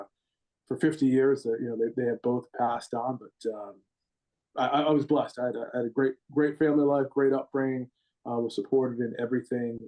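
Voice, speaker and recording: Mellow-voiced man with a Rhode Island accent. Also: mid-range voice, low-quality recording. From Rhode Island, USA. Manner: rambling, repeats words and restarts often. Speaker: gymnastics head coach, guest. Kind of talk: podcast interview.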